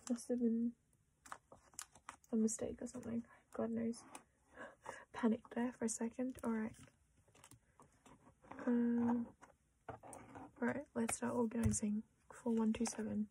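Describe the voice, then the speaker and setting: Soft-spoken Australian woman, half-whispering. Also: fairly high pitch, little pitch movement, slow, long pauses, slight background noise, low-quality recording. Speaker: collecting vlogger. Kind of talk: casual chat while sorting cards.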